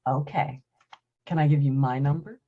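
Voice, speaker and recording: An actress playing a confident, flirtatious femme fatale with a teasing manner in a noir radio drama. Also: female voice, rumbling low, moderate pace, slightly rough, narrow, tinny audio. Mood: unbothered, flirting. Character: confident, flirtatious femme fatale pool player named Veronica.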